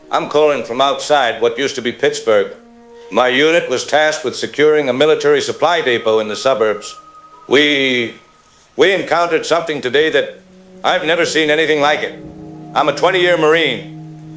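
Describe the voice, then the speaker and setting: A man playing a shaken veteran Marine colonel, grave and halting, reporting from the field in an apocalyptic radio drama. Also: on the high side, fairly bright tone, soft background music, natural voice.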